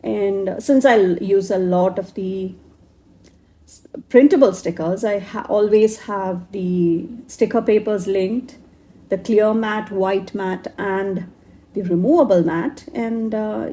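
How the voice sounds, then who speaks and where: A woman crafting YouTuber, hoarse from a cold, narrating a journaling tutorial slowly with many fillers and restarts. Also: mid-range voice, steady tone, warm tone.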